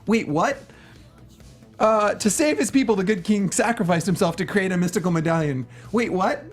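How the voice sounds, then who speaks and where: A man's voice: sits high, expressive, moderate pace. Male panel co-host, sarcastic, incredulous, conversational, faint music bed. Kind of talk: casual movie review chat.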